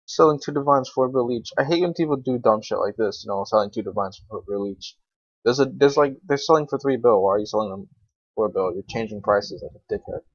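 A man's voice: mid-range voice, fast, dry recording. A young adult man giving casual gameplay commentary in an informal, grumbling, sweary way. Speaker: gaming video creator. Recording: dull lo-fi microphone, dry.

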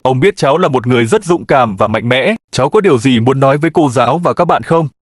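A man's voice: medium pitch, expressive, fast, natural voice. A man voicing a callous, menacingly jolly old grandpa animal in a comedic cartoon parody dub. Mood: gleefully menacing. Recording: very noisy, clicky audio.

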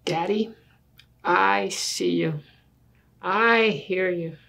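A woman's voice: fairly low pitch, very slow, creaky, fairly bright tone, heavily acted. An older woman voicing a bossy, precocious little girl from a storybook who persuades her daddy in a pompous, mock-reasonable way. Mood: self-assured.

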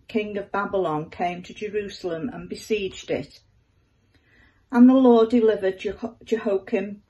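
An older woman, around her seventies, reading aloud from the Bible in a measured manner at home. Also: mid-range voice, mellow, low-quality recording. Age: older, about 70s. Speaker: scripture reader. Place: living room at home.

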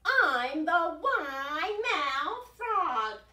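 Shrill, booming, boastful storybook frog. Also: female voice, very animated, bright, forceful tone, echoey room, far from the mic, exaggerated voice. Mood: loud bragging. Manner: drawn-out, singsong bragging. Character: boastful, loud, wide-mouthed frog, big and eager.

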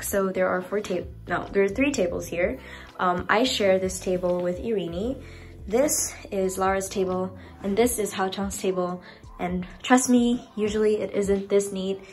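A young woman from Indonesia chatting casually and with youthful, bubbly informality as she films a room-tour vlog. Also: mid-range voice. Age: young adult. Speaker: vlogger. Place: small furnished dorm rooms and bathroom.

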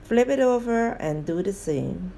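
A woman hosting a home cooking video, calmly narrating each step of a recipe and speaking to viewers as guys. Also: mid-range voice, a little slow, creaky, slight background noise. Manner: plain, steady instructional tone addressing viewers as guys. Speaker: home cooking show host.

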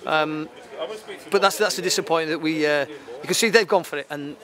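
A man in his fifties giving an unscripted post-match football interview, matter-of-fact and halting, repeating phrases as he goes. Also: a little high, crowd noise. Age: 50s. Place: outdoors at a football ground at night. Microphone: handheld interview microphone. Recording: clear outdoor background noise.

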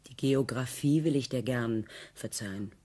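An actress playing an ironic, poised newlywed wife who needles and probes in a radio play. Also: female voice, a bass voice, moderate pace, warm tone, slightly rough. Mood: probing. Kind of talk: German radio play acting.

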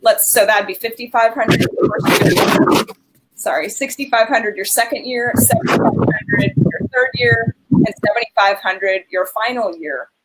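A woman's voice: on the high side, a little slow, very noisy. Earnest woman giving advice on a call. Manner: earnest, direct advising. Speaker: financial aid advisor. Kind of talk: webinar Q&A advice. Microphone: video call.

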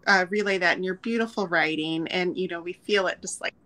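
A middle-aged woman co-hosting a book club over a video call from home, asking questions with many fillers. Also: fairly low pitch. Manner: warm, halting with fillers. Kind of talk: interview questions. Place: home room.

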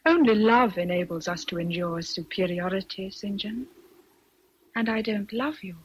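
An actress with an English accent playing a passionate, independent young Victorian governess in a radio drama, earnest and emotional. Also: female voice, mid-range voice, moderate pace, slightly rough, close to an everyday voice, low-quality recording. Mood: firm, pained. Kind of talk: BBC radio drama acting.

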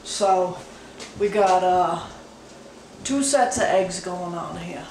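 A woman in her thirties hosting a comedic home cooking show, rambling in a deadpan way and laughing often, filmed in a small kitchen on a camera mic. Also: on the low side, slow. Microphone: camera mic from across the room. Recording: roomy, distant, noisy home recording.

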